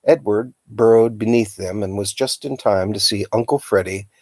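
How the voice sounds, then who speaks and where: A man reading a children's novel aloud as a storyteller, with a dramatic, expressive delivery. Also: fairly low pitch, normal speed, no echo. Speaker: storytime reader.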